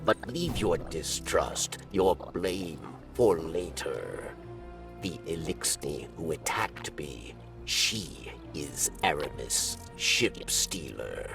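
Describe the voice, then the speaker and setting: Wily alien creature, super gravelly, slow halting speech, pleading, sounds a bit far off, video game. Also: male voice, extremely low pitch, very animated, over music, heavily acted. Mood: grave, warning. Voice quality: gravelly, croaking. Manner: halting, theatrical, refers to himself by name. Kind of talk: dramatic video game cutscene acting.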